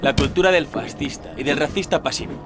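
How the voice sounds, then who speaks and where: An actor playing an arrogant young skinhead in an audio drama, insolent, cold and defiant. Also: male voice, on the high side, fairly quick, over music, natural voice. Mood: cold defiance.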